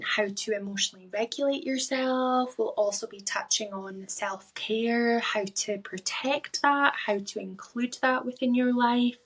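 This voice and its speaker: A woman from Scotland with a Scottish accent, talking solo to her listeners as a podcast host and life coach, enunciating deliberately. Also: medium pitch, rough recording.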